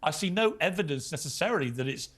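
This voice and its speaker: A man with a fairly high voice hosts a TV debate. He is emphatic and cuts in on the panel with pointed questions. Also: animated, fast talker, a bit bright, husky. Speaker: host. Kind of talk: TV debate hosting.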